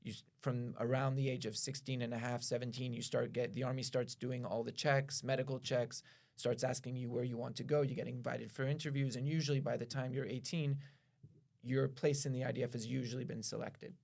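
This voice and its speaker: A man from Israel, a guest on a podcast, explaining things at length in a measured way, pausing and correcting himself as he goes. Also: medium pitch, little pitch movement, creaky, darkish tone. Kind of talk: explanatory conversation.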